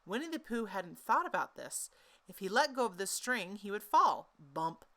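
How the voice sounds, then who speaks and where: A woman narrating a children's story in an expressive, animated storytelling manner. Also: medium pitch, normal speed.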